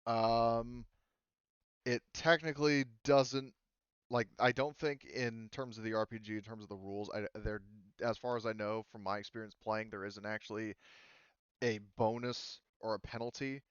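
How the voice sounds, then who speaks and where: A man with a smooth, lowish voice explains a tabletop game as its game master. He talks casually and hesitantly, rambling in an easygoing way. The sound is close and somewhat dull. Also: flat delivery, unhurried, no room sound.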